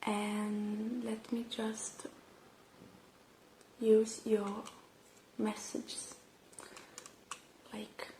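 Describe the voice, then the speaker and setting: A woman doing a gentle, unhurried ASMR roleplay, speaking softly and slipping into breathy whispers close to the microphone. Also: medium pitch, monotone, a little hiss or hum, poor audio. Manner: gentle, unhurried, murmuring to the listener. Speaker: ASMR creator playing a robot repair technician.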